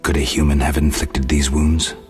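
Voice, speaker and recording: A stoic monster-hunting man from a fantasy game. His voice sits extremely low and gruff. He speaks in terse, deadpan, sardonic lines, with music underneath. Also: normal speed. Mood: analytical, deadpan. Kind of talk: video game voice acting.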